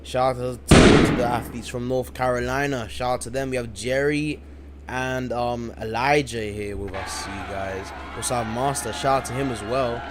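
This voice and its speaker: A man's voice: mid-range voice, fairly even intonation, normal speed, noisy background. A man commentating a live-streamed game event late at night, tired but hyped, repeating himself a lot. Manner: hyped and repetitive, keeps saying "you guys". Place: home, in the UK.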